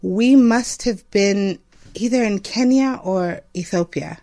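Interview guest, late 30s, from Zambia: earnest, reflective, halting delivery. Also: medium pitch, dark tone. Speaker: interview guest, foundation representative.

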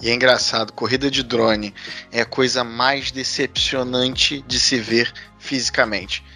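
A man in a casual panel discussion, speaking haltingly and repeating words as he thinks. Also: medium pitch, steady tone, bright, forceful tone, soft background music. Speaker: panel participant.

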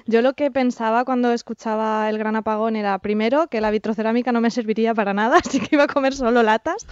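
A woman panelist with a Castilian accent speaks casually in a clear, somewhat high voice. The recording is dry and slightly dull. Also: fairly even intonation, quick pace, no room sound. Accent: Castilian Spanish.

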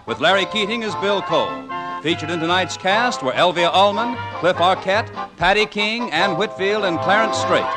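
A man's voice: sits high, low-quality recording. A man working as a radio announcer, reading a closing announcement in 1950s style. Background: prominent music.

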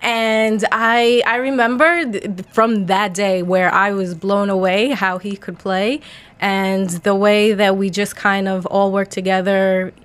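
A woman with an American accent and a medium-pitched voice. She talks casually as a music guest in a radio interview, in a studio setting. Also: fairly even intonation, unhurried, clean tone. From Long Island, New York, United States.

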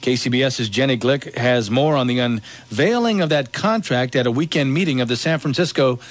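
A man's voice: medium pitch, normal speed. A man with an American accent anchoring radio news, reading headlines and weather live.

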